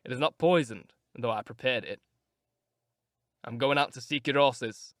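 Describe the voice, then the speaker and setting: A man voicing a harsh, brooding, cruel grown man who speaks in grim, deliberate threats. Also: medium pitch, fairly bright tone, no room sound, studio quality, voice pushed down, lightly acted. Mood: menacing.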